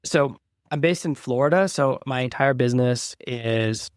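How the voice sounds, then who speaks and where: Casting note: man, 40s, podcast host, relaxed chatty delivery, dry close sound. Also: medium pitch, moderate pace, smooth, no room sound. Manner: casual, chatty, joking self-deprecation. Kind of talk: casual interview chat.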